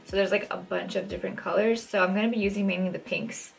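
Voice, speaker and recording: Young woman, raspy and a bit croaky, casual how-to host with a faint music bed. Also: mid-range voice, fairly even intonation, quick pace. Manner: chatty, many "like" fillers, shifts pace often. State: dry throat, maybe catching a cold. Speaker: makeup tutorial host. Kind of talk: casual step-by-step tutorial talk. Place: home, in front of a mirror.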